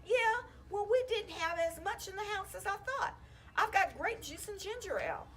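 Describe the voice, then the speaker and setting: A woman's voice: very high-pitched, lively intonation, moderate pace, fairly bright tone, pitched above the performer's own voice. A woman voicing an eager young schemer of a boy in a storybook, keen and pushy. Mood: exasperated.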